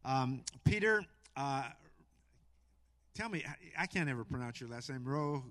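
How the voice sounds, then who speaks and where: Pastor, halting with many pauses. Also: male voice, mid-range voice, expressive, a bit bright. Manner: halting, with frequent filler pauses. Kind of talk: church introduction and spoken prayer. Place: church sanctuary.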